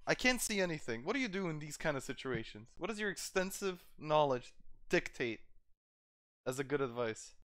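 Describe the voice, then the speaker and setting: A man's voice: on the high side, very animated, moderate pace, fairly bright tone, no echo. A man playing a tabletop game, chattily improvising in character in a casual way.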